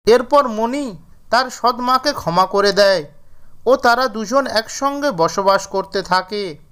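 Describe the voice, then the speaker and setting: A man's voice: high voice, lively intonation, takes it slowly, a bit bright, smooth. A man narrating a scripted cartoon fairy tale as the storyteller.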